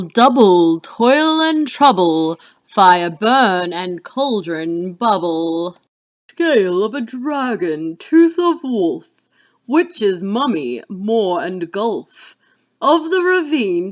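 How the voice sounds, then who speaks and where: A woman's voice: medium pitch, sing-song, drawn-out words, highs cut off. A woman playing a sinister witch who recites a cauldron spell in rhythmic chant, in a dramatic Shakespeare reading.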